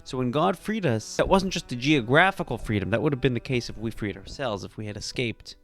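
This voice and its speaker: A man, a rabbi, giving an earnest, emphatic teaching that rises to animated peaks. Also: mid-range voice, brisk, faint music behind. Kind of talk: sermon-style teaching.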